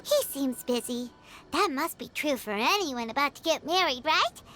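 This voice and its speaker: A woman voicing a small, chirpy, childlike fairy companion in a video game, with a squeaky, expressive delivery. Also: normal speed, over music. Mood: curious. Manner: refers to herself in third person, expressive.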